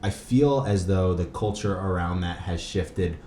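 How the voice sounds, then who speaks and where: A man's voice: deep voice, measured pace, mellow. A man hosting a podcast in a casual, informal way, rambling and questioning as he talks. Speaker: podcast host. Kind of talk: casual conversational interview talk. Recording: roomy echo with faint background noise.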